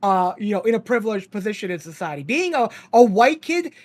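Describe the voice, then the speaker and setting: A man's voice: high voice, sing-song, fairly quick. A man who grew up in Ukraine, a podcast guest in casual chat, rambling and sardonic, laughing often.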